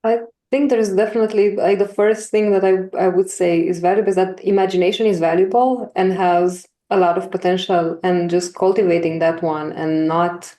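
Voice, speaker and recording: Woman guest researcher. Soft-edged dark tone, slightly low, earnest reflective talk, noticeably echoey recording. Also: fairly even intonation, fairly quick, hardly any pauses. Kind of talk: reflective conversational answer. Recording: roomy, echoey sound.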